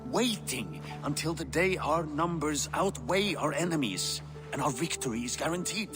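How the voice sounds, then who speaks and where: Brief: man as an aging Viking ruler, lively rise and fall, lordly and careful, score behind. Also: fairly high pitch, lightly acted. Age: older. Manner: measured, persuasive, lordly. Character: older Viking king, cautious and paternal. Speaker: game character. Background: game score music.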